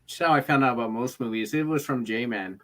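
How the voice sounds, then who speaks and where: Guy with an average pitched voice, halting a bit, chatting about films. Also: fairly bright tone. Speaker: panelist on a film livestream. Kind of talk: casual chat.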